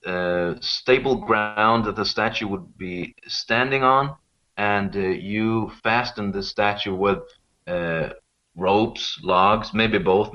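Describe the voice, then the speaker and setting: A man from Sweden, explaining things informally and conversationally as an interview guest. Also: lowish voice. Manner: informal, explanatory, often checking with "you know". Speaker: interview guest, local expert on Rapa Nui. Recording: dull, lo-fi call-like audio.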